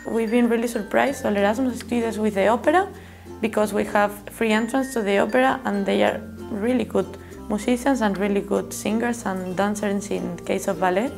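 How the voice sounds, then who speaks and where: A woman's voice: mid-range voice, fairly even intonation, moderate pace, vocal fry. A woman in her twenties from Spain with a Spanish accent, a music student answering interview questions casually and hesitantly in a classroom. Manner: hesitant, searching for words. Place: classroom with window and plants.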